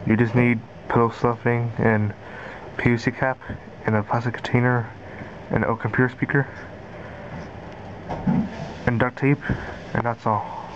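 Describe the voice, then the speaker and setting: A man giving a casual DIY tutorial in halting, repetitive, simple phrases, recorded indoors on a handheld camera's built-in mic. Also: mid-range voice. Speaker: amateur maker demonstrating a homemade gadget. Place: carpeted room indoors. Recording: dull lo-fi camera audio, steady noise.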